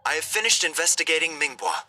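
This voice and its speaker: A man voicing an earnest, dutiful young subordinate in a video game, reporting in a measured, formal way. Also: a little high, animated, noisy background, natural voice. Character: earnest, dutiful young male subordinate, easily irritated by rival. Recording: game music behind the voice.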